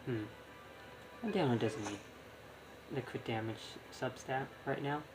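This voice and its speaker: Guy streaming a game, kinda medium-high voice, chatty thinking out loud, game music going behind him. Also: unhurried, poor audio. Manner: rambling, thinking aloud. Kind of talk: casual live gameplay commentary.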